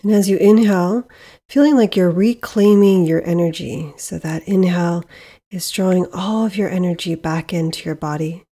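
Female meditation guide, lowish voice with a dark, relaxed tone, measured and soothing delivery, plenty of pauses. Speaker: meditation guide, podcast host.

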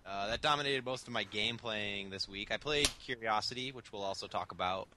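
Brief: young man, hoarse with a cold, low and bright-toned, rambling podcast co-host over a call. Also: fairly quick, a little hiss or hum. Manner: rambling, laid-back. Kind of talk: casual chat. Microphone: remote call over the internet.

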